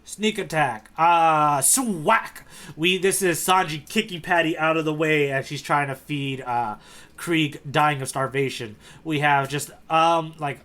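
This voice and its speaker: A man's voice: on the high side, fairly bright tone. A man co-hosting a podcast in casual, playful, jokey banter about manga. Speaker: podcast co-host. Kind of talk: casual comedic manga discussion.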